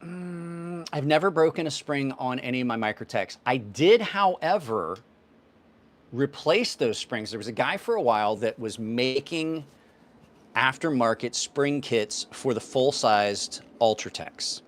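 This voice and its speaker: A man's voice: mid-range voice, expressive, a little slow. Rambling middle-aged man, live chat host. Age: 50s. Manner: rambling, answers chat questions offhand. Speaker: knife channel host. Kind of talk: casual livestream chat.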